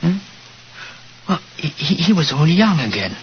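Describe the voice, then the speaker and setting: An actor playing a sleepy, gentle, simple-minded man with childlike wonder and a hesitant stammer, in 1940s radio style. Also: fairly high pitch, very animated, a little slow. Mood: dreamy, fond. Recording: muffled, hissy old radio recording.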